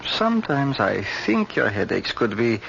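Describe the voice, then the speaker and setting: Gravelly doctor, noisy old recording. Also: male voice, medium pitch, sing-song, normal speed, fairly bright tone, lo-fi sound. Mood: earnest, probing. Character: gentle older European doctor friend, male, solicitous yet secretly scheming. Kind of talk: old-time radio drama acting.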